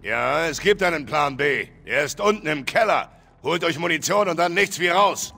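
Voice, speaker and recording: A man dubbing a gruff older soldier father defending his home in a video game, with dry humor, over game music. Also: on the high side, expressive, moderate pace, sharp tone, full character voice. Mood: urgent. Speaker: game character. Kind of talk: German game dub.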